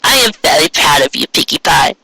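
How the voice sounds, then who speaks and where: A man voicing a kindly elderly mother pony in a story reading, speaking tenderly and a little haltingly. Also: a little high, sing-song, gravelly, pushed and bright, husky, no echo, heavily acted. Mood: tender, proud. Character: gentle, proud elderly mother mare, a kindly teacher. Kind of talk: storybook character voice in a fan fiction reading.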